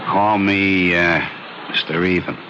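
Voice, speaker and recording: An actor playing a menacing, casual debt collector with slangy, veiled threats in an old radio drama. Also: male voice, low-pitched, fairly even intonation, slow. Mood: quietly menacing. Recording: dull, noisy old radio recording.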